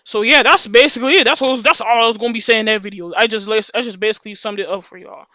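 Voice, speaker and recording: A woman with a deep voice for a woman, talking casually to her viewers in a rambling, slangy monologue that loops back on itself. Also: very fast, sharp tone, no echo. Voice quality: voice deeper than usual for a woman. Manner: rambling, slangy, repeats and restarts phrases. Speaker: video creator.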